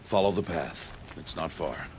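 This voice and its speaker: A man playing a stern, stoic middle-aged hunter and mentor in a video game, speaking in a grave, measured, solemn way. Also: darkish tone, a little hiss or hum. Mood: solemn warning. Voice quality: very deep, weighty. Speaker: mentor and guardian. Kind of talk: dramatic video game acting. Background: prominent background music.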